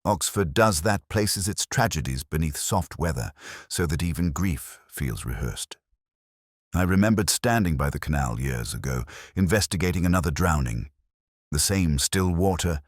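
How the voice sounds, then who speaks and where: A man narrating a scripted first-person detective story in a measured, brooding, literary manner. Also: rumbling low, no room sound, studio quality.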